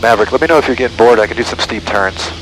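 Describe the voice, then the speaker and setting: A man's voice: mid-range voice, racing. A man flying a small plane, chatting casually and talking himself through each task over a headset. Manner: talks self through tasks. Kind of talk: casual cockpit chat, narrating own flying. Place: small propeller plane cockpit in flight. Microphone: aviation headset. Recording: loud steady aircraft cabin noise.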